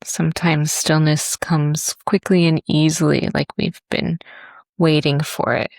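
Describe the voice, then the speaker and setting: A woman leads a guided body scan with a low voice that has a creaky edge. She speaks gently and leaves long pauses between phrases. The sound is close and dry. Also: no room sound. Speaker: yoga and meditation teacher.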